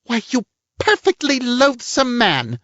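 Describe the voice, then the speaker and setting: A man doing a haughty middle-aged lady with a high, shrill, affected voice, all huffy gentility and wounded pride. The sound is dry and a bit dull, like an old lo-fi reading. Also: huge pitch swings, takes it slowly, a bit bright, no room sound, full character voice. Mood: shrill outrage. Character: haughty prim middle-aged woman, indignant and theatrical.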